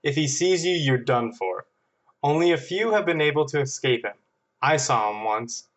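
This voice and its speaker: A man's voice: medium pitch, expressive, moderate pace. A man reading listener stories aloud in casual banter, with a playful, amused storytelling manner. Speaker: podcast guest and editor.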